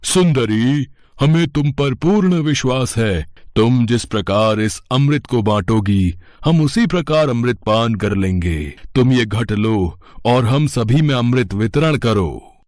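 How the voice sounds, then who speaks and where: A man voicing a greedy, besotted demon in formal Hindi for an animated myth story. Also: medium pitch, takes it slowly, dry recording, clean studio sound. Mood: smitten, fawning.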